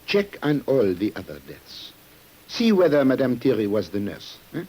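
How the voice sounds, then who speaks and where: An actor playing a shrewd, suspicious French police commissioner in an old radio drama, formal, courteous and probing, with an air of authority. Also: male voice, mid-range voice, very animated, moderate pace, dark tone, slightly rough. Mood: commanding. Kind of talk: 1950s radio drama acting. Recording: hissy old radio recording.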